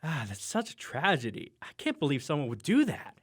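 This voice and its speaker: A man's voice: fairly high pitch, huge pitch swings, a little fast, husky, heavily acted. A young man playing a reluctant, whiny, exasperated college student sidekick in an audio drama. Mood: dismayed.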